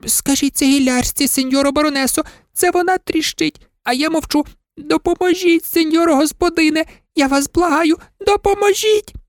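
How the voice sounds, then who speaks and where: A woman's voice: high-pitched, normal speed, dry recording, clean studio sound, pitched above the performer's own voice, natural voice. A woman voicing a frightened, chattering old servant woman who pleads and wails. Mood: panicked pleading. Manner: pleading, wailing.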